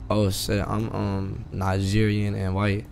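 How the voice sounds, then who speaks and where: Young guy from cali w a lowish voice, slangy gamer chat, keeps repeating himself, noisy headset mic. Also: completely flat delivery, takes it slowly, gravelly, a little mellow. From California. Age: young adult. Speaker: streamer playing online role-play. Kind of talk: casual slangy in-character chat. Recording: noisy game voice chat, background noise.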